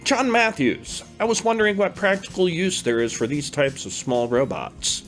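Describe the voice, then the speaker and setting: Man as polite, curious young male student, raised pitch. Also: moderate pace, fairly bright tone, faint music behind. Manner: polite questioning.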